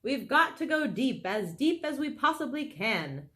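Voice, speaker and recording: A woman's voice: high voice, sing-song, measured pace, a bit bright, voice pushed up, heavily acted. A woman reading a children's storybook, voicing a determined, commanding father fox. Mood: excited, commanding. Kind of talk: children's storybook read aloud.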